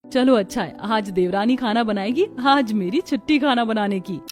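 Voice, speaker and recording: Actress as traditional village daughter-in-law, cartoon drama, higher register, respectful and homely, soft music bed. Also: female voice, moderate pace, close to an everyday voice. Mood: pleased. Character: traditional, dutiful village elder daughter-in-law, hopeful then bewildered. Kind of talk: scripted cartoon dialogue.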